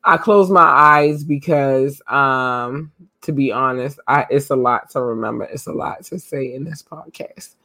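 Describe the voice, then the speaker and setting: A woman hosting her podcast, her voice getting hoarse. She talks loosely with lots of ums and slang. The sound is close and dry, with no room around it. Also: rumbling low, measured pace, pushed and bright, no echo. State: losing her voice. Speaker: podcast host. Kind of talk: casual live podcast talk.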